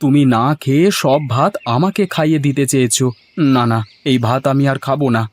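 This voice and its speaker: A man's voice: mid-range voice, fairly quick, natural voice. A man voicing a poor, caring village farmer in a moral-story cartoon, earnest and plaintive. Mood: concerned, protesting.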